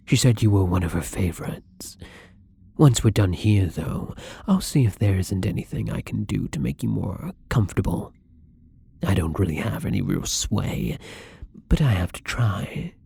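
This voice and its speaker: A man playing a newly turned, gentle and hesitant vampire in an intimate ASMR roleplay, speaking softly and haltingly with frequent whispers. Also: lowish voice, dry recording, exaggerated voice. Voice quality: breathy, husky. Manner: soft, halting, often dropping into whispers.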